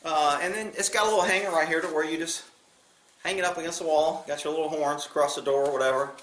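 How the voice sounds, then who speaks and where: A man's voice: a little high, fairly even intonation, fast talker, fairly bright tone. An American man chatting casually as a vlog host, rambling in a blunt, folksy way with mild cursing. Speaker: video channel host. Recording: roomy, echoey sound.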